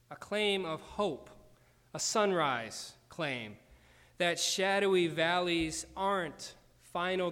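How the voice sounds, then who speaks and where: A man preaching a sermon in a measured, deliberate way, slowing down for emphasis. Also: on the high side, fairly bright tone, in a large hall. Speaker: pastor.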